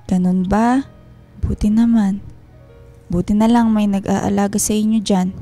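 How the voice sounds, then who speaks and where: Actress playing a subdued, mellow-voiced lonely wife. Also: female voice, medium pitch, steady tone, a little slow, soft background music, lightly acted. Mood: subdued, hurt. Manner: restrained, hesitant, politely hiding hurt. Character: lonely, guarded wife and mother working far from family. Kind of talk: radio drama acting.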